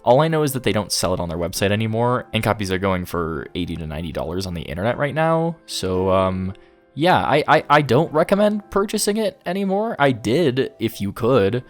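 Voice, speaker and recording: Man narrating with lively, varied pitch. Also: fairly quick, soft background music. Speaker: anime video essayist. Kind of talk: scripted review narration with occasional casual asides.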